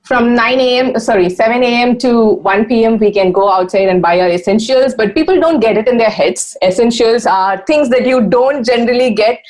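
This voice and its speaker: A woman with an Indian English accent and a somewhat high voice, a Tamil native speaker, hosts a radio interview. She asks her questions eagerly and keeps things moving with quick affirmations. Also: darkish tone, poor audio. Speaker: radio host.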